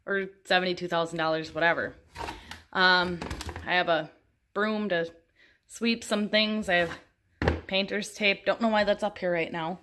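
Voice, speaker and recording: A woman's voice: fairly low pitch, steady tone, normal speed, long pauses, background noise. A woman, giving a casual, chatty room tour with wry, self-deprecating humor. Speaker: homestead and houseplant vlogger. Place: small upstairs room in an old house, indoors.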